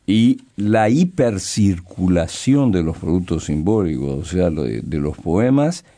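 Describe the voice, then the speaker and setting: Slow, mellow older man. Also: deep voice, sing-song. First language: Brazilian Portuguese. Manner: slow, hesitant, halting, thoughtful. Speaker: poet and guest. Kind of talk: reflective interview talk.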